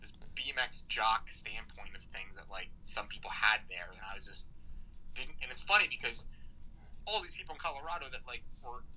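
Young American male podcast guest, rambling and informal, sharp forward tone, faint noise behind. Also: medium pitch, lively intonation, brisk, rough recording. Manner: rambling, informal, frequent "like" and swearing. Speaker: podcast guest, BMX rider. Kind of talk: casual conversational interview.

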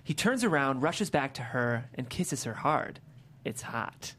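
Woman narrating screenplay directions, varied pitch. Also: lively intonation, unhurried, a bit bright. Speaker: stage-direction reader.

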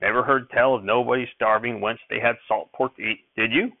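A man's voice: mid-range voice, racing, vocal fry, no room sound, narrow, tinny audio, voice pushed up. A man voicing a crotchety, hot-tempered old farmer grandfather who scolds in rustic, old-time country speech. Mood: snappish.

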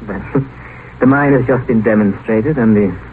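A man's voice: lowish voice, measured pace, natural voice. An actor playing an earnest, bantering young man of science in a 1950s radio drama, with an easygoing manner. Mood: teasing. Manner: easygoing, teasing, chuckling. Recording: tinny noisy old radio broadcast.

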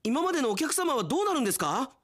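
A man playing an earnest, sentimental young railway station worker in a theatrical, expressive radio drama style. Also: extremely high pitch, very fast, voice pushed up, full character voice. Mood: shocked, pleading.

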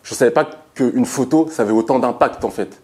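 A man in his thirties from France, a photographer speaking in a colloquial, emphatic and animated way. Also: mid-range voice, brisk, breathy edge. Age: 30s. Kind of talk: documentary interview testimony.

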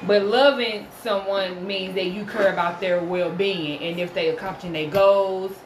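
A woman's voice: mid-range voice, fairly even intonation, normal speed, reverberant, noisy background. A woman in her late twenties, talking hesitantly and thoughtfully in a casual chat about love. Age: late 20s. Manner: hesitant, thoughtful, filler-heavy.

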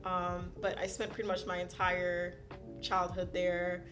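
A young woman from Haiti, raised in South Florida, a podcast guest telling animated stories about growing up, with frequent "um"s and laughter. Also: lowish voice, measured pace, over music. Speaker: guest, school counselor. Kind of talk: casual interview storytelling.

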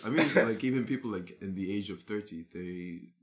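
A man with a very low voice that has gone rough and raspy from illness, with a cough that breaks in now and then. He thinks aloud in a relaxed group chat and often stops to rephrase. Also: fairly quick. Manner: hesitant, restarts often. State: sick with a cough. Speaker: discussion participant. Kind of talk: casual group discussion.

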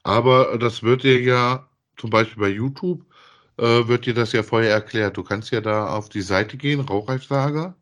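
A man's voice: mid-range voice, normal speed, a bit bright. A man co-hosting a podcast, chatting casually in a rambling, repetitive way as he reviews. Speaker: podcast co-host.

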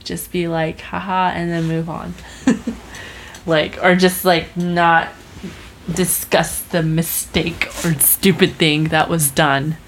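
Chatty young woman with a low voice. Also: little pitch movement, slow pace, a little hiss or hum. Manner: chatty storytelling with many fillers and restarts. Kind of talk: casual chat.

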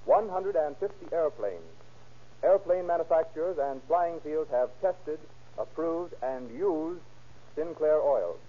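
A man's voice: fairly high pitch, slightly rough. An American man announcing in a formal, emphatic 1930s radio style, reading scripted copy. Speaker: announcer and narrator. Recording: muffled 1930s radio recording.

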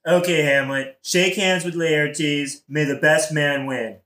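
A man's voice: fairly high pitch, steady tone, slow, pushed and bright, roomy sound. A man playing a smug, boastful, scheming king in a modern comic audioplay, with a glib, bragging manner. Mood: brisk, showy.

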